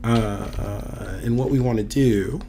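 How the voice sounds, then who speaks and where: Guy w a cold, kinda hoarse, chill instructor talking thru a coding demo, bit of hiss on the mic. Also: on the low side, slow pace, dark tone. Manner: casual, friendly, thinks aloud with fillers. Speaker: university instructor. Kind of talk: screen-recorded coding tutorial.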